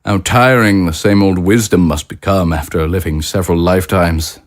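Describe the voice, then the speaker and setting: Man playing courtly, formal low-voiced consul. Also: fairly even intonation, moderate pace, close to an everyday voice. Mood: politely pointed. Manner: formal, stately, politely condescending. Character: courtly, smooth-talking male consul of the living. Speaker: actor in an audio drama. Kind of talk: dramatic dialogue acting.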